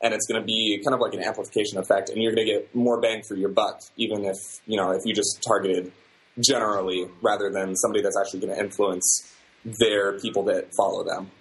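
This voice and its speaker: Low-voiced man explaining marketing tactics. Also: flat delivery, poor audio. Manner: measured, explanatory, with fillers. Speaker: guest.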